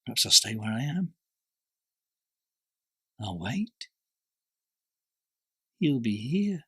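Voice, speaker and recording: A man acting a troubled, doubting disciple in a dramatised ancient religious text, speaking intensely and deliberately in short, broken phrases. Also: medium pitch, very animated, a bit bright, slightly rough, no echo, studio quality, heavily acted. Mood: bitter, torn.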